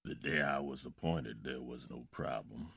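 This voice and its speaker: An actor playing a proud, dignified old former policeman in a radio docudrama, gravelly and emphatic. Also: extremely low pitch, moderate pace, a bit bright, no echo, clean studio sound, heavily acted. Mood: proud recollection.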